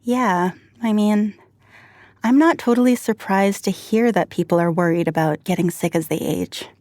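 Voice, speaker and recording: A woman co-hosting a podcast, explaining things in a measured way. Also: medium pitch, a little mellow, dry recording, studio quality. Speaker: staff writer and co-host. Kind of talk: podcast conversation.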